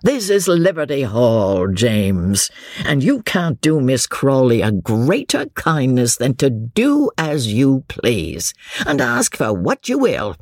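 A narrator voicing a courtly, mild English gentleman who is bland, polite and slyly encouraging to his cousin. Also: medium pitch, huge pitch swings, takes it slowly, no echo, studio quality, exaggerated voice. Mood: genial, expansive.

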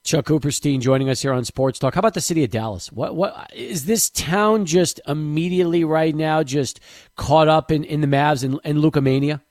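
A man hosts a sports talk radio show, putting questions to a guest in a chatty way. His voice sits at an ordinary pitch and sounds dry and close to the mic. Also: normal speed, darkish tone, no room sound. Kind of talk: radio interview hosting.

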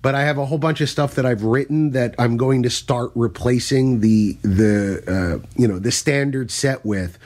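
A man's voice: mid-range voice, normal speed, hardly any pauses. A man who is a stand-up comedian, telling self-deprecating stories in casual podcast chat. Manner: storytelling, self-deprecating, animated when telling bits.

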